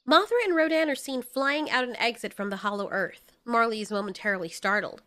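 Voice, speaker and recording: A woman's voice: fairly high pitch, brisk, fairly bright tone. A woman storyteller giving dramatic scripted narration in an informal, candid way.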